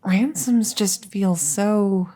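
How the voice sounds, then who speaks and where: A woman's voice: mid-range voice, dark tone. A woman playing a captured crown princess, haughty but easily flustered and coy, in a roleplay audio drama. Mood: shy, bashful. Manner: stammering, hesitant, halting.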